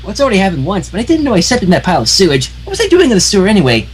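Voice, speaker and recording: A man voicing a hyper, cheerful young female pony baker who rambles in a high squeaky voice. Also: huge pitch swings, rapid-fire, a little hiss or hum. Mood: rambling. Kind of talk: amateur reading of fan fiction.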